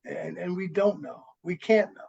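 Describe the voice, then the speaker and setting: A man, a guest on a radio show, speaking thoughtfully and haltingly with many restarts. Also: high voice, vocal fry, darkish tone, slightly rough. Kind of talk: reflective conversation. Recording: dull lo-fi line.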